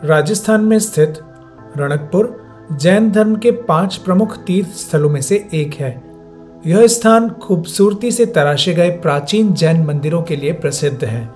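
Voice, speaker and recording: A man's voice: on the high side, normal speed, a little mellow, soft background music. A man reading a scripted, informational travel voice-over as narrator.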